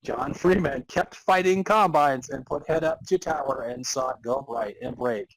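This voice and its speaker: A man narrating a comic dramatic reading of fan fiction as a podcast host, halting and amused over broken sentences. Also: mid-range voice, slight background noise, low-quality recording.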